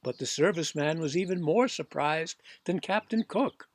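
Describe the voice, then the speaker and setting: A man reading a children's book aloud as expressive scripted narration. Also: fairly high pitch, moderate pace. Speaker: audiobook reader.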